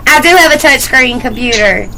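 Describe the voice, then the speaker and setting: A woman hosting a rowdy interview, her voice a little high and very bright. She teases her guests with easy slang. A faint hiss of background noise sits under the talk. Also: brisk, low-quality recording. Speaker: interview host. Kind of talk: casual interview banter.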